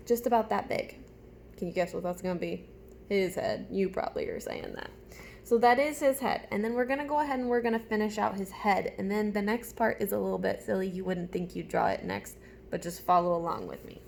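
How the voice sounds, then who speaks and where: A woman's voice: mid-range voice, expressive, brisk, gravelly, darkish tone, a little hiss or hum. A woman teacher reading a storybook aloud to young children, chatting to them directly with simple questions and step-by-step prompts.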